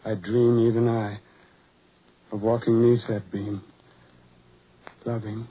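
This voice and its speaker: An actor playing a tormented, lovelorn, self-loathing man in a melodramatic 1940s radio horror drama, brooding and halting in delivery. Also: fairly low pitch, little pitch movement, breathy edge, exaggerated voice. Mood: subdued, halting. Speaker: radio actor, lead and narrator. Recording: muffled, noisy 1940s radio recording.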